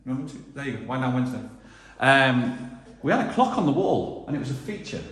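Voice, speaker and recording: A man's voice: medium pitch, sing-song, fast talker, a bit bright. A middle-aged man, chatting casually to camera and partner as he vlogs at home. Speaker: vlog host. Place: small to medium bare rooms indoors. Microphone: handheld camera at arm's length. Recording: echoey bare tiled rooms, some noise.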